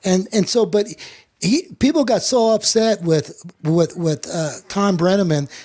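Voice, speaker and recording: Male sports talk host, high-pitched voice, chatty anecdotal delivery with repeated words. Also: a little slow, a little mellow. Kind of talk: casual chat and anecdote telling.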